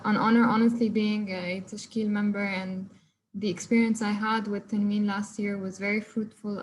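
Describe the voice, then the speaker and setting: A woman's voice: medium pitch, a little mellow, low-quality recording. A young woman from Egypt, a furniture designer, giving an online presentation of her work with many fillers and restarts. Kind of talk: online presentation with shared screen. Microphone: video call.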